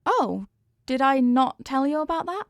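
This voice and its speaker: A woman's voice: high-pitched, big pitch swings, unhurried, no echo, heavily acted. A woman playing a sweet, clumsy, centuries-old headless horsewoman girlfriend in an affectionate, sheepish, rambling way for an intimate ASMR roleplay. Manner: soft-hearted, sheepish, affectionate, rambling explanations.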